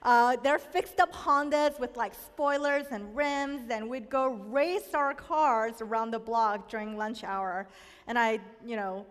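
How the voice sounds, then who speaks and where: High-voiced woman telling her story in a hall. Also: takes it slowly. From South Korea. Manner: reflective, candid storytelling. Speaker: speaker sharing a personal testimony. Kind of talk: personal testimony talk.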